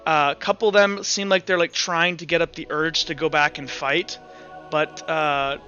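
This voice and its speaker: A man narrates a tabletop roleplaying game as its game master. His voice is bright and a little high, his improvised storytelling casual and halting. The recording is slightly dull, with faint music underneath. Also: steady tone.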